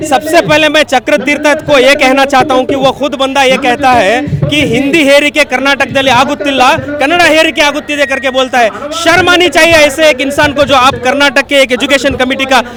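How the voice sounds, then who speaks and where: High-voiced fervent man at a street protest. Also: steady tone, moderate pace, few pauses. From Karnataka, India. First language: Kannada. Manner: fervent, accusatory, rally-style delivery. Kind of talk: impassioned protest statement to a reporter. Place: outdoor street protest in a city. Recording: street protest crowd behind.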